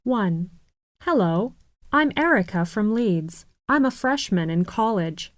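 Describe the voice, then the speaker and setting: Female reader for a listening track, unhurried, dry studio sound. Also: medium pitch, expressive, dry recording. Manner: slow, careful, clearly enunciated. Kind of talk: scripted textbook listening exercise reading.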